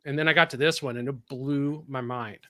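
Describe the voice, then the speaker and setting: A man's voice: mid-range voice, steady tone, a little fast, sharp tone, no room sound, clean studio sound. A man with a Californian accent chatting on a podcast panel, rambling with many restarts and laughs. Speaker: podcast co-host. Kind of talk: casual panel chat.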